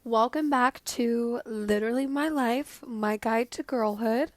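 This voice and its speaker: A teenage girl hosting her own podcast, slightly hoarse from a cold, chatting casually and rambling with lots of fillers. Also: a little high, measured pace, dry recording, studio quality. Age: teens. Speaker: podcast host. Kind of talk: casual solo podcast chat.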